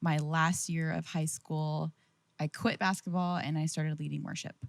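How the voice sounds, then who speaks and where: A young woman, a church staff member, giving soft, easygoing interview answers. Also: low voice, flat delivery, normal speed, creaky, dry recording. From Pismo Beach, California. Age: young adult.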